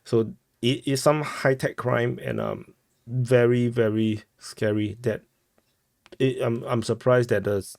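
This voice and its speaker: A man with a Singaporean accent giving informal news commentary as a host, halting and often rephrasing himself. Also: medium pitch, steady tone, darkish tone, no echo, studio quality. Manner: halting, repeats and rephrases phrases.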